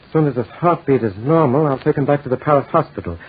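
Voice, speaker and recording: An actor playing a calm, courteous, reassuring doctor in a 1940s radio drama, speaking in a measured, soothing way. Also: male voice, mid-range voice, slightly rough, natural voice. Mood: concerned, practical. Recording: tinny, hissy 1940s radio recording.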